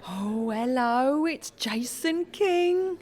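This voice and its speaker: Woman acting a high-voiced, fussing lady. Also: lively intonation, extremely slow, a little mellow, exaggerated voice. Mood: kindly. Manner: polite, solicitous. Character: kindly, fussing English woman admiring a young man. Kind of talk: comedy radio play acting.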